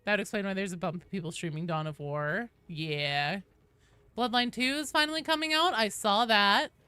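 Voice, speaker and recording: A woman game streamer with a bright, forward voice at an average pitch. She talks casually to her chat, reading comments and answering them playfully, and she swears freely. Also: normal speed, clean tone, dry recording.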